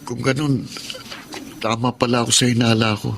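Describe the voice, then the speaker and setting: An actor playing an earnest, timid, faithful working husband in a Filipino radio drama, respectful and hesitant in speech. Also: male voice, medium pitch, flat delivery, moderate pace, creaky, a bit bright, a little hiss or hum. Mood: sheepish, uneasy.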